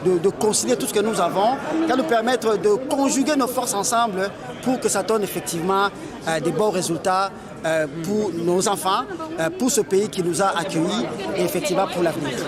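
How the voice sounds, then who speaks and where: A man giving measured remarks at a community event, hesitating often with fillers as he speaks. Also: high voice. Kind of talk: earnest public remarks. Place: outdoors at a crowded event. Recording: outdoor event with loud crowd. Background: loud crowd chatter.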